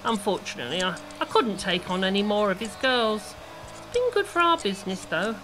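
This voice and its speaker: A woman's voice: medium pitch, lively intonation, brisk, over music, lightly acted, poor audio. A woman playing a chatty, gossipy, bustling hair salon owner in an audio play, gushing and exclaiming. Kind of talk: amateur audio play acting.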